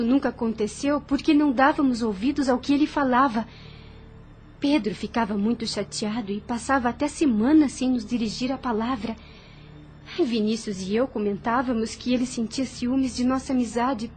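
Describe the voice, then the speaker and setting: An actress with a Brazilian accent playing an earnest, anxious young mistress of a plantation house in an audio drama. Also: female voice, a little high, normal speed, darkish tone, faint music behind, natural voice. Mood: troubled, reflective. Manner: earnest, hesitant when uneasy.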